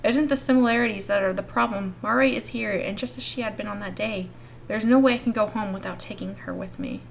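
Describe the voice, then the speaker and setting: A woman's voice: medium pitch, fast, vocal fry, slight background noise. A woman reading a story aloud expressively as the host of a gaming video. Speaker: YouTube host reading a visual novel aloud. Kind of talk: expressive read-aloud narration.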